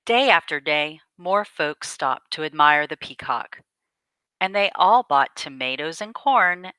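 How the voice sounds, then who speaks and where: A woman reads a picture book aloud for children. Her voice is slightly low but sweeps expressively, with an unhurried, dramatic delivery. The recording is dry. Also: sharp tone, no echo. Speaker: storyteller reading to children.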